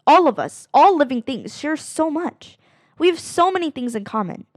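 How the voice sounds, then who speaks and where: A woman reading a children's picture book aloud in an animated, expressive way, drawing out phrases for dramatic emphasis. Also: high-pitched, normal speed, dry recording, studio quality. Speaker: read-aloud narrator of children's books.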